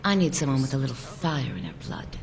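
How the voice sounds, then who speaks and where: A woman's voice: rumbling low, very animated, fast talker, gravelly, darkish tone, a little hiss or hum, exaggerated voice. An actress playing a lonely, smitten woman in a video game, with tender, yearning delivery. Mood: grateful, inviting. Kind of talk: scripted game dialogue.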